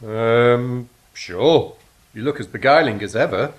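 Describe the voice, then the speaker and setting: Audio drama role: gloomy, dry-witted angel, played by an actor, sardonic, medium-pitched with expressive melody. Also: slow pace. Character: cynical, dry-witted angel Legna, gloomy about humankind.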